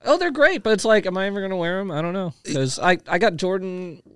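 A man's voice: high voice, expressive, quick pace, a bit bright, clear, resonant tone, no room sound. A man co-hosting a podcast, chatting casually in a studio. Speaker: podcast co-host. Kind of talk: casual chat.

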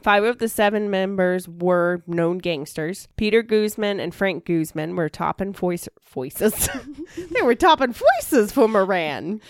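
A woman's voice: medium pitch, lively intonation, unhurried, no room sound. Playful woman co-hosting, close dry sound. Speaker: podcast co-host. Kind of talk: casual true-crime storytelling among friends.

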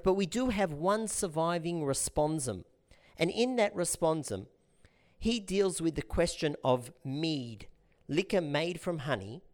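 A middle-aged man teaching an informal lesson in a lively, chatty way, explaining things step by step. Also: on the high side. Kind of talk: informal talk-show lesson.

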